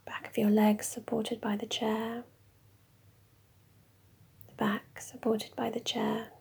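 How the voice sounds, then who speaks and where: Breathy whispering woman guiding meditation. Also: a little high, level tone. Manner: slow, soft, mostly whispered. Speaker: meditation guide. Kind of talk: guided meditation.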